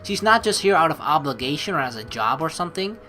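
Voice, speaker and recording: A woman hosting a let's play, reading game narration and dialogue aloud with playful, expressive commentary. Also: deep voice, quick pace. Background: game music.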